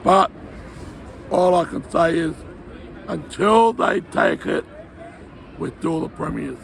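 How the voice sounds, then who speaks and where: A hoarse man with a worn-out voice, vlogging excitedly from a football stadium and shouting along with the game. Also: a little high, slow pace. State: hoarse, voice shot. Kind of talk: casual vlog chat at a match. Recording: loud stadium crowd and noise behind.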